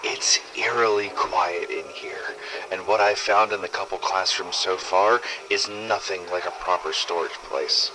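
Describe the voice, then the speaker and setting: A man playing a weary, sardonic, foul-mouthed survivor of a zombie apocalypse, recording expressive first-person audio logs in a game-style drama. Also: deep voice, a little slow, background noise. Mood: hushed, uneasy. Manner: sardonic, expressive, emphatic swearing.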